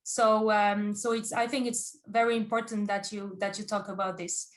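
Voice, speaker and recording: A woman's voice: mid-range voice, flat delivery, moderate pace. A woman moderating a webinar over a video call, talking hesitantly with many restarts and frequent light laughs. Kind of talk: hosting a live webinar.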